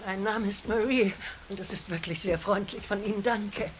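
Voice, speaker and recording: A woman's voice: medium pitch, moderate pace, natural voice. An actress playing a fussy, guilt-tripping older mother, chatty and passive-aggressive, in a dubbed sitcom. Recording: very noisy TV sitcom soundtrack.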